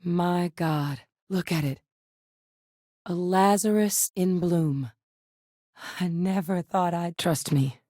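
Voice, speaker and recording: A woman playing a seasoned ex-military scientist in a video game, steady and earnest, with a measured, serious delivery. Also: fairly low pitch, dry recording, clean studio sound. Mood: awed. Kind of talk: video game character voice lines.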